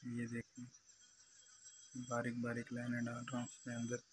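A man's voice: medium pitch, completely flat delivery, moderate pace. A young man with a Pakistani accent giving a repetitive, step-by-step commentary as he demonstrates his craft. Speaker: glass engraver, channel host. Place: glass cutting workshop. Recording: noisy workshop background hum.

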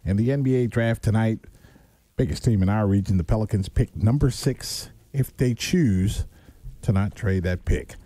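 A man's voice: on the low side, moderate pace, warm tone. An American man hosting radio with upbeat, chatty DJ talk and conversational asides, speaking on a studio microphone. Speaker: radio host.

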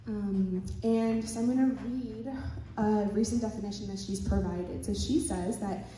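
A woman moderating a panel discussion in a conference room, speaking gently and stuttering at times. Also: mid-range voice, fairly even intonation, unhurried, slight background noise. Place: hotel conference room with audience. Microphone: handheld mic, recorded from far in the room. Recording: echoey conference hall from far off.

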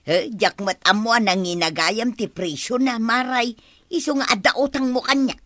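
An actress playing an aggrieved village plant seller in a radio comedy drama, whiny and full of melodramatic exclamations. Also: female voice, a little high, moderate pace, fairly bright tone, no echo, lightly acted. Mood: dismayed.

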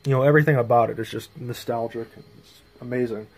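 Flat-voiced man with some vocal fry. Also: medium pitch, fast talker, very noisy. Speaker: hobbyist video presenter. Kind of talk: casual show-and-tell vlog. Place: small bedroom indoors. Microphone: handheld phone camera.

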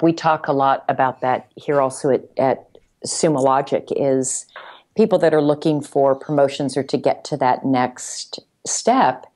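A woman's voice: low-pitched, darkish tone. A woman talking conversationally as a guest on a business podcast, sharing her working experience. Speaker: regular podcast guest, corporate sales executive.

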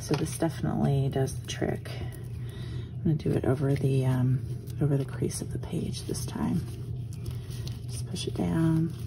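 Low raspy-voiced woman, hoarse from just waking up, chatty craft tutorial at home, kinda noisy recording. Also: moderate pace, pauses often. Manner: chatty, relaxed, trailing off into soft murmurs. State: hoarse morning voice. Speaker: art journaling instructor. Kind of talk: casual craft tutorial narration. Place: home craft desk, indoors.

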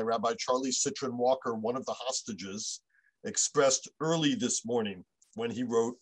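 A man's voice: medium pitch, poor audio. An American man in his fifties, a rabbi giving prepared remarks over a video call. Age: 50s. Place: room at home, indoors. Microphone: computer mic on a video call.

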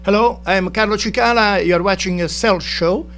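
A man in his sixties from Italy with an Italian accent, introducing songs in a TV studio and pausing to search for words. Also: sits high, a bit bright. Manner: halting, repeats words when searching. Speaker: guest singer-guitarist. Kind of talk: singing ballads with guitar, casual introductions. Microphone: stand mic in front of him. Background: acoustic guitar.

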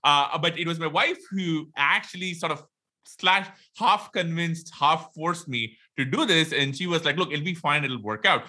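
A middle-aged man with an Indian accent, a podcast guest talking quickly and animatedly on a video call from a home room. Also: a little high. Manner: quick, animated, many fillers. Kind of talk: casual interview talk. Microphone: headphones, video call.

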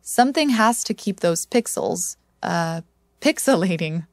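A woman's voice: on the low side, lively intonation, unhurried, dry recording. Woman hosting a podcast, very dry sound. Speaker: podcast host. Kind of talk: scripted podcast narration and interviewing.

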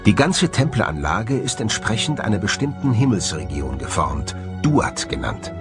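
Male documentary narrator, lowish pitch, widely varied intonation, measured dramatic read, music underneath. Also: big pitch swings.

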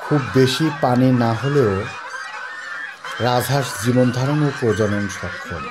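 A young man narrating and interviewing in a measured, informative way, speaking into a handheld mic outdoors at a goose farm. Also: mid-range voice, background noise. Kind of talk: read narration and field interview. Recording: outdoor voice with music bed.

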